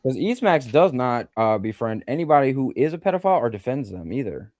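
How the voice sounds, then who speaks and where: A man's voice: mid-range voice, very animated, brisk, a little mellow, no room sound, studio quality. A man arguing as a guest on a stream, defensive and insistent. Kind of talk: heated livestream argument.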